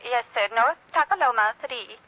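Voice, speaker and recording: A woman's voice: on the high side, animated, moderate pace, close to an everyday voice. An actress playing an obliging, businesslike telephone switchboard operator with precise diction, in 1940s radio style. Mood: polite, businesslike. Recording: dull, noisy vintage radio recording.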